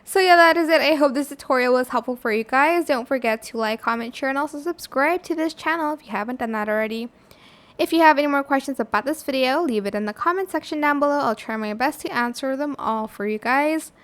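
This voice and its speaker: A tutorial host with a fairly high voice chats her way through each step. She talks loosely, filling pauses with "like" and "um". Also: a bit bright. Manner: chatty, with frequent "like" and "um" fillers. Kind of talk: casual screen-recording tutorial.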